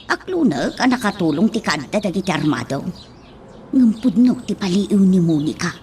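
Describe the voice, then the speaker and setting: An actress playing a frank, worried household nanny in an Ilocano radio drama. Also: female voice, medium pitch, sing-song, moderate pace, a little mellow, slight background noise, close to an everyday voice. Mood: uneasy.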